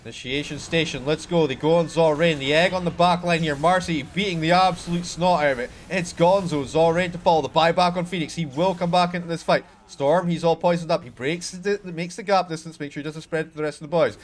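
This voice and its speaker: A Scottish man casting a live video game match on his own, talking to chat between fights and getting loud and excited in the action. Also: on the high side, fairly quick, hardly any pauses. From Scotland. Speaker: esports caster. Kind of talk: solo live game commentary with chat asides.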